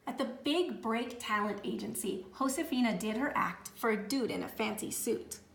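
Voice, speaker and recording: A woman reading a children's storybook aloud with expressive, theatrical phrasing and drawn-out words. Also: fairly high pitch, vocal fry, a little hiss or hum. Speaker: storybook reader.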